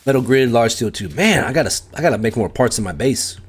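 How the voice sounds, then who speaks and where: A middle-aged American man talks casually to his live chat while he plays. He thinks out loud as he builds and often pokes fun at himself. His voice sits at an ordinary male pitch. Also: expressive, fast, slightly rough. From United States. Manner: chatty, self-deprecating, thinks aloud and talks to chat. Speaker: game streamer.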